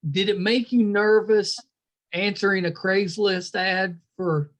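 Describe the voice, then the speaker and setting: A man's voice: sits high, fairly even intonation, slow pace. A man hosting a casual interview, asking questions and chipping in as he chats. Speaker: podcast host interviewer.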